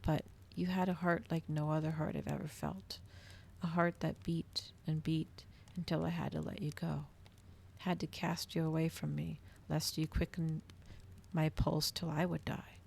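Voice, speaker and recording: A woman reading a novel aloud in a hushed ASMR style, slipping into whispers, close to the microphone. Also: deep voice, flat delivery, unhurried, vocal fry, slight background noise.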